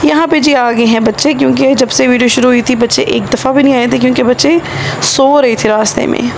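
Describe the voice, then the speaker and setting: A woman in her thirties with a Pakistani Urdu accent, narrating her road-trip vlog in a chatty voice-over, her voice hoarse from a sore throat, and repeating phrases for emphasis. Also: sits high, fairly even intonation, a little fast, noisy background. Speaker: travel vlogger.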